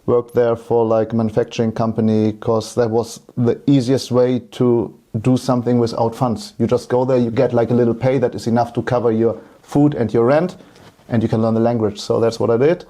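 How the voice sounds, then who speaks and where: A man's voice: medium pitch, little pitch movement, brisk, mellow. A man in his thirties with a German accent, telling his story casually as an interview guest. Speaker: podcast guest, entrepreneur.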